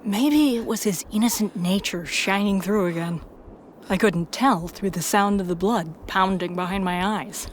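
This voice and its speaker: A woman's voice: mid-range voice, a little slow. Woman narrating a story, faint background noise. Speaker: narrator and protagonist. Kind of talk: first-person scripted story narration.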